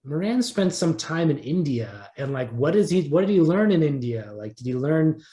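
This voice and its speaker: A man's voice: a little high, very animated, normal speed, dark tone. A man being interviewed as an audio drama creator, explaining casually and thinking aloud as he goes. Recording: roomy, echoing sound.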